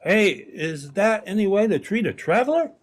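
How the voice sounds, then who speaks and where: An actor playing a big, crafty frontier robber with folksy talk in an old-time radio drama. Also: male voice, sits high, expressive, normal speed. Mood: falsely friendly. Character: big burly frontier robber posing as traveler.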